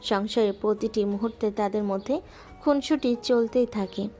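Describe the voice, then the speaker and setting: A woman narrating a romantic audio story in a gentle, measured way, with soft music underneath. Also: mid-range voice.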